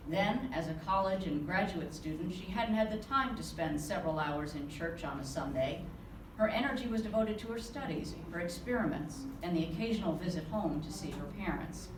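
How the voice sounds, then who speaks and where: A woman author reading aloud from her novel to a live audience, giving the dialogue a lively touch. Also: on the low side. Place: conference room. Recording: roomy, distant with background noise.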